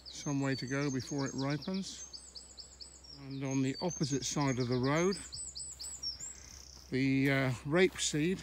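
A middle-aged man from England chatting casually as he films a country walk on his phone, pausing mid-sentence as he goes. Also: mid-range voice. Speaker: walking vlogger. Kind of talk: casual walking commentary. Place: outdoors on country lanes and fields. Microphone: handheld phone camera while walking. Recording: faint outdoor background noise.

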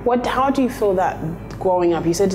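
A woman's voice: mid-range voice, expressive, a little fast, darkish tone, faint music behind, low-quality recording. A woman in her thirties working as a TV host, presenting scripted introductions and asking interview questions. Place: indoor office, seated.

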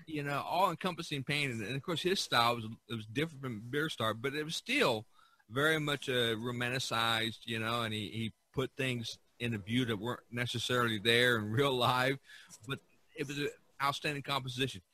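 A man with a bright, forward voice talks casually as a podcast host. His storytelling is enthusiastic and animated, at an average pitch for a man. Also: a little fast. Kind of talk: casual art history discussion.

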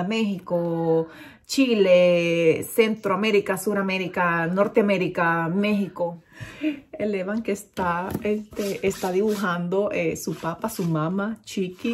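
A woman's voice: medium pitch, slight background noise. A woman in her thirties from Nicaragua with a mild Nicaraguan Spanish accent, chatting to the camera from her bedroom, filling her talk with fillers and restarts. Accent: Nicaraguan Spanish, fairly neutral. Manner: chatty, gestures a lot, many fillers and restarts. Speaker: vlogger. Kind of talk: casual chat to camera. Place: bedroom at home, indoors. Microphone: camera at desk distance.

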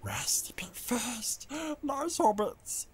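A man's voice: high voice, wildly swooping pitch, takes it slowly, husky, pitched above the performer's own voice, exaggerated voice. A man voicing a wretched, whimpering creature who whines, pleads and shrieks. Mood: whining. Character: wretched old creature, hissing and whining.

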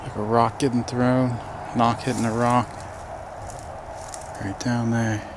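A man in his forties speaks in a tense, hushed voice into a handheld camera out in the woods. He sounds jumpy and keyed up and swears a lot, and his pitch sits in the middle. The outdoor ambience comes through loud and clear behind him. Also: level tone, takes it slowly, noisy background. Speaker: found-footage creator. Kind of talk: found-footage vlog narration.

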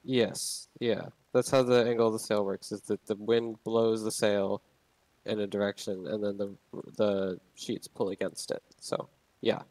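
A man's voice: on the low side, flat delivery, measured pace, darkish tone, dry recording, poor audio. A young man casually explaining a game's sailing controls, rambling with many fillers and restarts. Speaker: game tutorial narrator. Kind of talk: casual gameplay tutorial.

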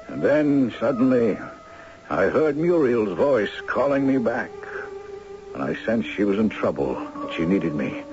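An elderly, ailing judge in an old radio drama. He speaks gently and courteously with a wistful air, his pitch rising and falling widely. The recording is dull vintage radio with some music. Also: big pitch swings, a little slow. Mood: solemn, resolute. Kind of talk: 1970s radio drama acting. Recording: muffled 1970s radio recording, music behind.

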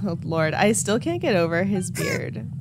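A woman's voice: mid-range voice, huge pitch swings, moderate pace, background noise. A young woman with a stuffy, congested nose, giving chatty, frank commentary on a video game and laughing often. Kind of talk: casual reaction commentary. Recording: game music playing under voice. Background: game music underneath.

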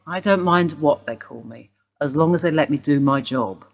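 An actress playing a woman detective who questions a suspect in a brisk, formal way. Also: sits low, creaky. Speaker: police detective. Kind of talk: radio play acting.

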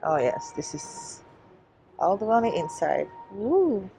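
A woman's voice: medium pitch, big pitch swings, measured pace, vocal fry, mellow. A woman casually chatting to viewers as she browses in a large store, musing aloud as a shopping vlogger. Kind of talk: casual shop-with-me vlog chat. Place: inside a large shoe store. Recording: faint background store noise.

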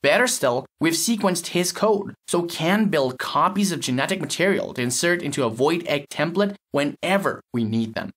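A man's voice: on the high side, lively intonation, moderate pace, hardly any pauses, a bit bright, husky. A man playing a cynical, blunt lab technician in an audio story, explaining in a matter-of-fact, sardonic way. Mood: blunt, dry. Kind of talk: acted dialogue in an audio short story.

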